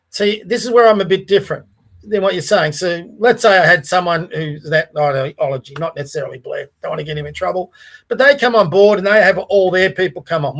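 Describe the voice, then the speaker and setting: A man with an Australian accent and a fairly high voice talks through his views in a casual podcast chat. He speaks earnestly, restarting phrases and checking in with the listener as he goes. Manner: earnest, restarts and asides like "right?". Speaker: podcast host. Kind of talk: casual political discussion.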